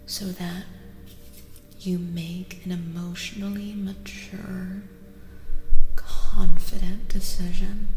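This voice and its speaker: A woman doing a soft-spoken ASMR tarot reading, moving between a breathy whisper and a soft voice, soothing and gently reassuring. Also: mid-range voice. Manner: soothing, gently reassuring, repeats phrases slowly. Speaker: ASMR streamer and tarot reader. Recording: reverberant echo with music behind. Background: music.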